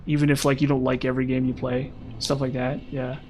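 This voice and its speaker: A young man streaming a game, chatting casually with viewers in a rambling way, his voice slightly hoarse from long talking. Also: medium pitch, completely flat delivery, a little fast, darkish tone, slight background noise. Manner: rambling, lots of "like" and "um". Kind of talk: casual live-stream chat while gaming.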